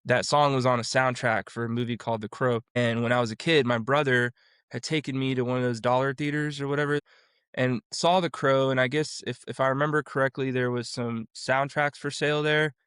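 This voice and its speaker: A man's voice: mid-range voice, steady tone, brisk, a bit bright, clear, resonant tone, no echo, clean studio sound. A man in his forties from Florida, a vocal coach and producer, talking casually as an interview guest and rambling through his story with many restarts. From Florida, USA.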